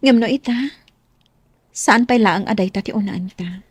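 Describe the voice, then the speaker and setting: Actress as very raspy, gentle young Ilocano sweetheart. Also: female voice, mid-range voice, moderate pace, dry recording, close to an everyday voice. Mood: affectionate. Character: gentle, affectionate, practical young woman being courted. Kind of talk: Ilocano radio drama acting.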